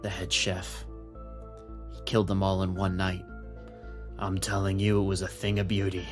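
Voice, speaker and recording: A low, gruff police captain, acted by a man, shrewd and streetwise. He talks plainly and with command, in a noir audio drama over faint music. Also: monotone, moderate pace, close to an everyday voice. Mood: confiding, knowing.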